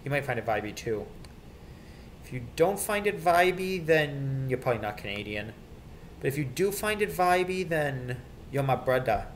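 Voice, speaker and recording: Male casual vlogger, rambling and opinionated, animated intonation, some noise on an amateur home recording. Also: medium pitch, moderate pace. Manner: rambling, opinionated, repeats himself. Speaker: video creator. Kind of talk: casual off-the-cuff rant about children's TV theme songs.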